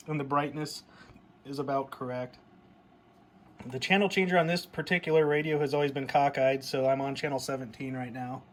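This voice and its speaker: A man's voice: mid-range voice, a bit bright, slight background noise. A middle-aged American man chatting casually and nostalgically, in a rambling show-and-tell style. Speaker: hobbyist vlogger showing a CB radio.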